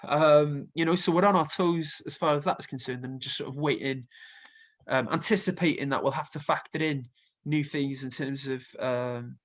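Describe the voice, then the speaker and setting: Welsh guy with a bit of a cold, kinda high voice, rambly, dull lo-fi call audio. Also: moderate pace. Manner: chatty, rambling with many fillers. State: slight cold, sniffly. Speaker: festival co-founder and music booker. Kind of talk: casual interview chat.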